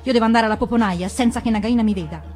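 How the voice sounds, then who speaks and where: Brave storybook mongoose played by a woman: bossy, brisk, commanding, slightly raised voice, faint music. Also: fairly high pitch, a little mellow, natural voice. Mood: impatient, commanding.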